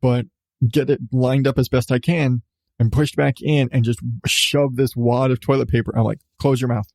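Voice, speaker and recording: A man's voice: mid-range voice, steady tone, normal speed, gravelly, darkish tone, no room sound. A man in his thirties, a podcast host telling a personal story casually, with swearing and emphatic repetition. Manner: profane, emphatic, repeats phrases for effect.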